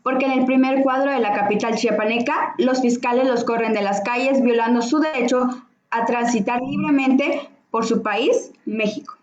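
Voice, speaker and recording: A woman's voice: on the high side, steady tone, normal speed. Woman reading questions in a roomy, dull recording. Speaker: co-moderator reading audience questions. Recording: roomy, dull lo-fi audio, faint noise.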